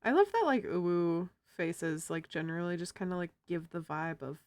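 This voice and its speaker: A feminine-voiced streamer chatting casually while drawing, giggling often and cracking silly jokes. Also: female voice, fairly low pitch, expressive, moderate pace, darkish tone, dry recording. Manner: giggly, jokey, laughs often.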